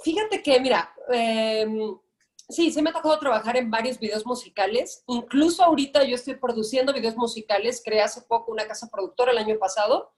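A young adult Mexican woman, an actress guest, speaking in a fairly high voice. She talks in relaxed podcast chat, thoughtful and measured, often starting with small hesitations. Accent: Mexican Spanish. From Guadalajara, Mexico. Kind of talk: casual podcast interview.

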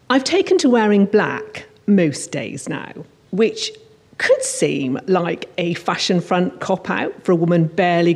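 A woman with a slightly low voice reads her own crime story from a stage. She delivers it as an expressive storyteller, in the first person, as a writer at a live literary reading. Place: on stage at a live showcase event in a hall.

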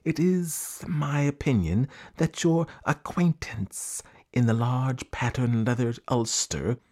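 A man voicing a pompous python in a storybook, giving grand, drawn-out advice in long words. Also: medium pitch, mellow, voice pushed up. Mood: pompous warning. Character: pompous bi-colored python rock snake, ponderous adviser.

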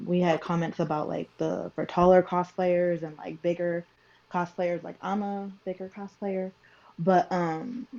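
A woman's voice: fairly low pitch, a little mellow. A woman speaking hesitantly with many fillers in a casual group voice chat. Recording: dull lo-fi call audio.

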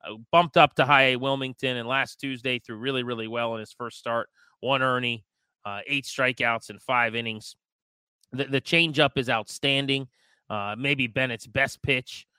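A man co-hosts a sports show with conversational commentary. His voice is bright and crisp at a medium pitch, picked up dry on a close microphone. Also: fairly even intonation, moderate pace, no echo.

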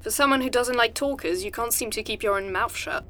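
An actress playing a tough, cool, protective female fighter in an audio drama, deadpan and commanding. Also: on the high side, a little fast, lightly acted. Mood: cool menace.

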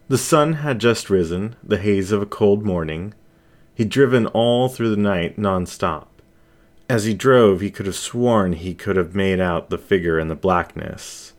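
A man narrating a scripted story as its reader. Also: sits low.

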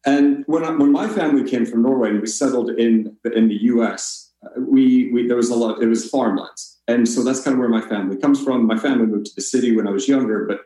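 A man's voice: medium pitch, brisk, hardly any pauses, mellow, echoey room, low-quality recording. An American man from Colorado speaking as a podcast guest, telling stories casually in a gracious, self-deprecating way. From Colorado, United States. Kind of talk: casual conversational storytelling.

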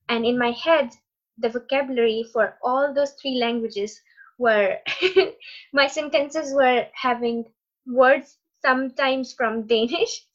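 A woman whose first language is Urdu speaks in a fairly high voice, encouraging and reflective, now and then pausing on fillers. The sound is a little dull and lo-fi. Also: fairly bright tone. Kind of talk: casual conversation.

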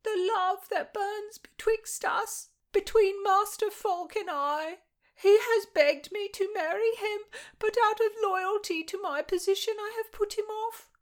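A woman voicing a tearful, lovestruck young maidservant in a storybook reading, with a high theatrical delivery. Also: unhurried. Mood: tearful, lovesick.

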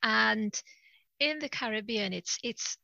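Middle-aged Trinidad-born woman, guest coach; bright, gravelly voice, earnest, quick to laugh. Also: a little high, no room sound, dull audio. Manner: earnest, repeats words, laughs easily. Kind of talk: casual interview conversation.

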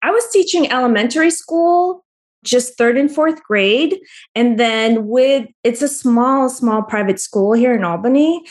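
A woman's voice: on the high side, unhurried. Friendly woman artist guest from the Philippines. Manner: friendly, laughs softly mid-sentence. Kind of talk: casual interview answers. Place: Albany region, New York.

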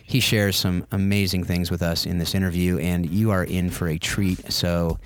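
Low-pitched American man hosting a podcast. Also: flat delivery, normal speed, no room sound. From United States. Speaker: podcast host. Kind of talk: conversational interview.

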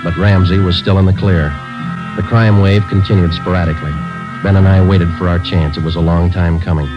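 Actor doing stoic american police sergeant, deep deadpan voice, clipped, old muffled radio show with music behind. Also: male voice, fairly quick, lightly acted. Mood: flat, matter-of-fact. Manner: deadpan, matter-of-fact, terse. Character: stoic, terse police sergeant narrating his cases. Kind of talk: 1940s radio acting, clipped case narration.

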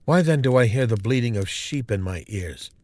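A man's voice: mid-range voice, animated, normal speed, a little mellow, voice pushed up, natural voice. A man voicing a stern, unwavering old prophet who rebukes a king with firm, solemn pronouncements. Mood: rebuking. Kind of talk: storybook drama reading.

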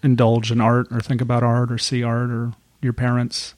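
A man hosting a podcast who introduces his guest and asks relaxed, curious questions. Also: mid-range voice, normal speed, dry recording. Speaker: podcast host. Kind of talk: podcast interview.

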